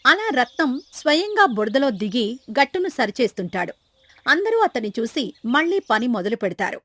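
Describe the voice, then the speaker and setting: A woman's voice: fairly high pitch, big pitch swings, moderate pace, dry recording. A woman reading scripted story narration as the storyteller.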